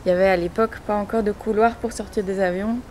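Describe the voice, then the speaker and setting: A woman in her forties with a Belgian French accent, giving personal testimony in an interview in a measured, hesitant way with pauses. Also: mid-range voice, steady tone, darkish tone, a little hiss or hum. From born in Chile, grew up in Belgium from age four. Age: 40s. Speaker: interviewee telling her family's migration story.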